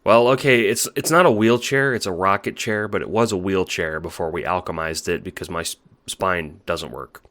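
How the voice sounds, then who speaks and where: A man playing a timid young male alien troll in an audio drama, halting and stammering, eager to please and unsure of himself. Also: low voice, a bit bright. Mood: meek. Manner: halting, stammering, self-doubting. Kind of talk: audio drama monologue and dialogue.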